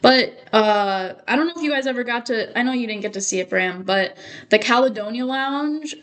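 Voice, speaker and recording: A woman's voice: on the high side, normal speed, fairly bright tone. A woman hosting a podcast, chatting casually and agreeing with enthusiasm. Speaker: podcast host.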